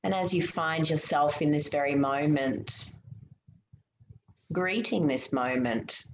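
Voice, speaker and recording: A low-pitched woman with a creaky edge guides a meditation very slowly. Her delivery is soothing and gentle, with pauses between instructions. The recording sounds roomy. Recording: muffled, roomy, noticeable background hiss.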